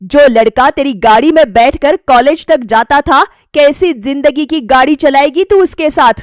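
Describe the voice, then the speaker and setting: A stern middle-aged mother from a cartoon moral tale, acted by a female narrator. Her voice is high and forceful, scolding with scornful, emphatic questions. The sound is dry and close. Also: moderate pace, dry recording, lightly acted.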